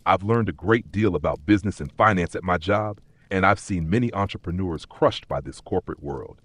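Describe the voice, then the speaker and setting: A man playing a weary, doubtful corporate worker in a dark fantasy audio story, plain-spoken and skeptical. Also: low-pitched, fairly quick, slightly rough, no echo. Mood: resigned.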